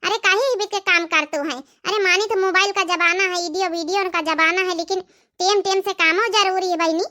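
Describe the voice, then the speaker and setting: A gossipy, opinionated village daughter-in-law from a cartoon comedy played by a woman. The voice is extremely high and bright, almost childlike in pitch, with an Awadhi accent and a talkative, advising manner. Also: fairly even intonation, fairly quick. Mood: chiding. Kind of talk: animated family comedy dialogue.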